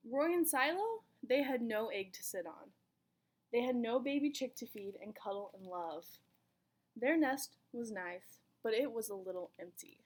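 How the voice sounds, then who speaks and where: A woman reading a children's picture book aloud in an expressive storytelling manner, with dramatic pauses. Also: fairly high pitch. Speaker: volunteer story reader.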